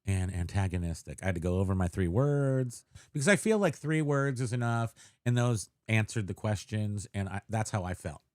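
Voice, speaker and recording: A man's voice: fairly low pitch, normal speed, no echo, studio quality. A man, co-hosting a podcast in casual, joking chat and slipping into silly put-on voices. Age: 50s. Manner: jokey, animated, slips into silly put-on voices. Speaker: podcast co-host.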